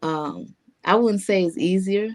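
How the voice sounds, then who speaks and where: A woman podcaster answering interview questions in a casual, soft-spoken way, pausing with um and you know. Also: mid-range voice. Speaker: guest, podcaster.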